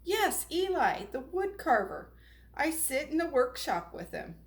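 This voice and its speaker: A woman's voice: high-pitched, very animated, measured pace, pitched above the performer's own voice, full character voice. A woman voicing a carefree, self-assured female wooden puppet in a storybook, upbeat and matter-of-fact. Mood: breezy.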